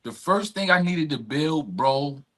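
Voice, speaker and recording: A man's voice: fairly high pitch, expressive, a little slow, a bit bright. A man speaking as a podcast guest, telling personal stories candidly and emphatically, with street slang and repeated phrases. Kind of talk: candid long-form conversation, personal storytelling.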